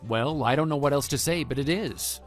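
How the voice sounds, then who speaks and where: A man playing a calm, matter-of-fact husband and former teacher in a scripted online radio drama. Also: fairly high pitch, close to an everyday voice. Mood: calm, firm. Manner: measured, matter-of-fact, quietly dry. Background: background music.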